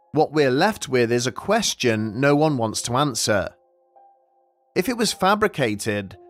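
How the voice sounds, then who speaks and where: A man reading scripted documentary narration in a measured, dramatic, suspenseful storytelling manner. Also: mid-range voice. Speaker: narrator of a mystery documentary series. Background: background music.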